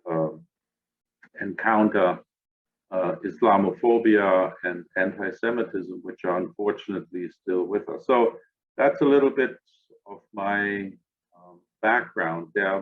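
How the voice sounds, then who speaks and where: This is an older man with a clear German accent, speaking slowly in a low register. He answers reflectively and often stops to gather his thoughts. His voice comes through a narrow, phone-like line. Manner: measured, thoughtful, with frequent "uh" and "um" pauses. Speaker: guest, academy director.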